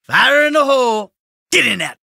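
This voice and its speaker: An actor playing a weary, sardonic, hot-tempered middle-aged American criminal in a video game, grumbling and foul-mouthed. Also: male voice, very high-pitched, sing-song, normal speed, gravelly, bright, forceful tone, dry recording, clean studio sound, heavily acted. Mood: gloating. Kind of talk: acted video game voice lines.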